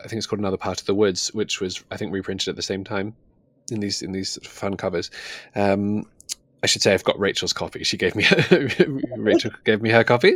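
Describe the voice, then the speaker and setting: A man's voice: lowish voice, dry recording. A man co-hosting a casual book discussion, thinking aloud hesitantly and often rephrasing himself. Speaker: book podcast host.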